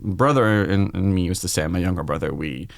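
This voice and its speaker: A man in his forties speaks English with a French accent, his low voice open and reflective as he tells his story. The sound is dry and close, with no room around it. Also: brisk, vocal fry, dry recording. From France. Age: 40s. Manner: reflective, open, quoting his own thoughts aloud. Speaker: guest chef. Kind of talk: candid podcast conversation.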